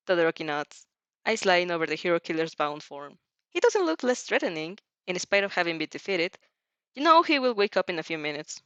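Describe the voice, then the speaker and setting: A woman reading fan fiction aloud as narrator, giggly and animated, often tripping over words and restarting. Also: lowish voice, no room sound. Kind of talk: animated story reading with asides. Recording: dull lo-fi microphone.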